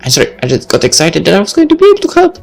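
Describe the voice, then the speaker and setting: Eager young crewwoman, obedient, very high and lively, played by a male narrator. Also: very fast, creaky, soft background music, heavily acted. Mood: apologetic. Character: eager, deferential young female crew member.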